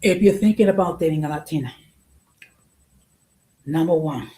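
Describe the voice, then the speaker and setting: A woman host giving an informal opinion talk in a halting, deliberate and emphatic way, often repeating her phrases. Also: low-pitched, dark tone.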